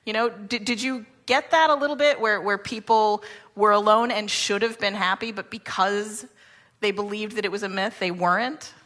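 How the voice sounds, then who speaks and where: A woman's voice: medium pitch, fairly even intonation, normal speed, a bit bright. A woman hosting a radio interview, asking questions in a conversational way.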